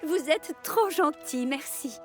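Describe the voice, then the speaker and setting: A woman voicing an eager, modest female fire engine in an animated children's cartoon. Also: high-pitched, slow pace, soft background music. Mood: bashful.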